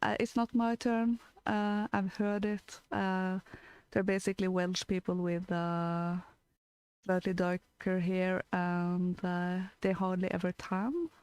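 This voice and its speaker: A woman with a Norwegian accent chats casually as a co-host. Her delivery is slow and halting, with hesitant gaps between short phrases. The voice is smooth and clean, recorded dry without room sound. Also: lowish voice, little pitch movement, a little mellow, no echo. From Norway. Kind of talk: casual chat with anecdotes.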